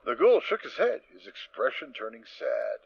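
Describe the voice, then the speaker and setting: A man's voice: mid-range voice, huge pitch swings, normal speed, creaky, breathy edge, lo-fi sound. A man reading scripted story narration for an amateur audio drama.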